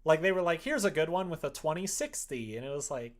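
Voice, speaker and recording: Casual livestream host, mid-pitched and very animated, informal, conversational delivery. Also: fast talker. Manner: informal, animated, quoting imagined exchanges. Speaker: streamer chatting while making a visual novel.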